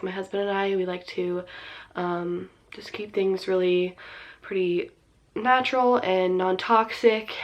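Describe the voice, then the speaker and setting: A young woman in her twenties talks casually straight to camera, her nose a little stuffed and sniffly from a cold. She rambles in a chatty, relaxed way, dropping plenty of ums and likes as she explains things. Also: lowish voice. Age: 20s. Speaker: vlogger.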